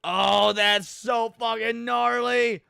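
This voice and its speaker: A man with a high, clear voice streams casual reaction commentary. He talks in loose slang with plenty of swearing and an excitable manner. The sound is close and very dry. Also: takes it slowly, dry recording. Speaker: streamer reacting to horror videos.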